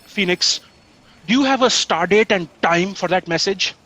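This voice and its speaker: A man's voice: high-pitched, normal speed, bright, forceful tone. A man acting as a nervous, deferential, pedantic assistant in a sci-fi satire audio drama, hesitant and prim in delivery. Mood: polite, prim.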